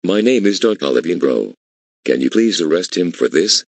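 A scheming cartoon prankster in a man's text-to-speech voice. The pitch is extremely low and swings widely, with a gravelly creak and stilted phrasing. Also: sing-song, moderate pace, dry recording, exaggerated voice. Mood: indignant. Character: scheming blue cartoon troublemaker who makes prank calls. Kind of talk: scripted animated dialogue.

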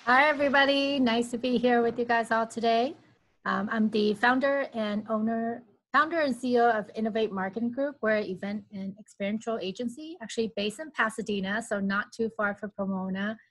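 A woman's voice: a little high, brisk, fairly bright tone, poor audio. Female panelist, 30s, upbeat conversational delivery, earbud mic on a video call. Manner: upbeat, conversational, with "you know" fillers. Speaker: agency founder and panelist. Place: home office. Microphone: earbud headset on a video call.

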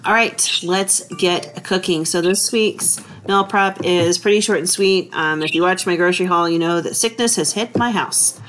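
Woman in her thirties, laid-back vlogger voice, lower register, faint room hum. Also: moderate pace, few pauses, rough recording. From Texas. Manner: chatty, relaxed, full of fillers. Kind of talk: casual kitchen vlog narration.

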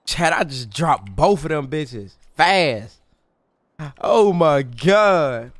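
A man livestreaming a roleplay game, commenting excitedly in boastful, slangy, profane casual talk. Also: fairly high pitch, very slow, no room sound. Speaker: live game streamer.

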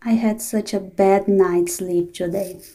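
A young woman voicing an unhappy office worker, speaking a complaining inner monologue in a weary, flat way. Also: mid-range voice, unhurried, natural voice. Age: young adult. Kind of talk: scripted inner-monologue narration.

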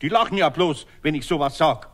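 A man playing a gruff but patient, sensible carpenter with a Bavarian accent in a children's radio play. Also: fairly high pitch, fairly quick, a bit bright. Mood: firm, reasoning. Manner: plain-spoken, homely.

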